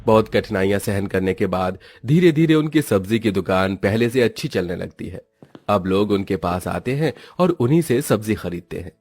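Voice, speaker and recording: A man's voice: fairly low pitch, animated, normal speed, darkish tone, no room sound. A man narrating a scripted cartoon story as its storyteller.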